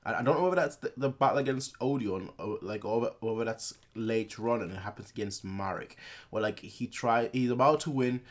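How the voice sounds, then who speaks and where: A man's voice: medium pitch, fairly quick. A young man hosting a reaction video, talking in a loose, rambling way with lots of filler words. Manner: rambling, heavy use of filler words and restarts. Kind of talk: casual rambling chat.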